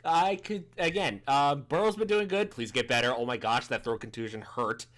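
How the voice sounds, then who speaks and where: A man in his thirties co-hosting a casual sports podcast, restarting sentences and rushing when excited. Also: medium pitch. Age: 30s. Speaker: podcast co-host.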